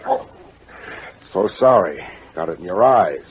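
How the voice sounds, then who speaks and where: An actor playing a wry, hard-boiled middle-aged private detective in a 1950s radio drama, sardonic and clipped. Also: male voice, low voice, animated, a little slow, background noise. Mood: taunting. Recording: tinny old radio recording, music behind.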